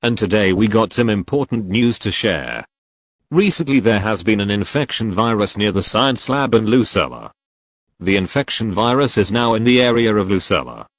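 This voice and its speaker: A man's voice: fairly low pitch, lively intonation, moderate pace, no room sound. A synthetic-sounding male voice playing a formal news anchor reading a serious scripted emergency bulletin.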